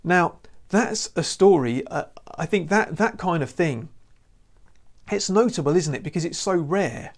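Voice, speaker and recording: Earnest preacher with gravelly tone. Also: male voice, a little high, animated, unhurried. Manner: earnest, conversational, many "you know" fillers. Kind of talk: recorded sermon to camera. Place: indoor room with lamp and church banner. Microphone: lapel mic.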